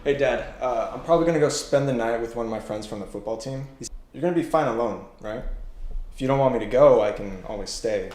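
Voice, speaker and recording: An actor playing an earnest, pleading teenage son and brother in a short film drama. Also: male voice, medium pitch, fast, a little mellow, lightly acted. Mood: casual. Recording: echoey film audio, music underneath.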